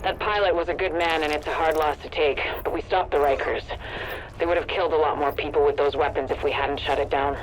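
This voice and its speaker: Man as an earnest, higher-voiced military coordinator. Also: steady tone, fast talker, a little hiss or hum, lightly acted, low-quality recording. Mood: solemn, resolute. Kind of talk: dramatic video game acting.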